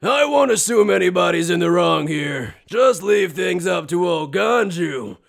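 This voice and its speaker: A brash, big-hearted anime gang boss acted by a man blusters in a fairly high voice. The delivery is loud and informal, with a rough creak in it. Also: animated, unhurried, full character voice. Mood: boastful. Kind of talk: anime fan dub acting.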